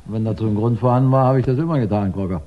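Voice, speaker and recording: An actor playing a measured, authoritative male chief inspector in a 1960s German radio crime play. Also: on the low side, lightly acted. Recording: dull 1960s radio recording.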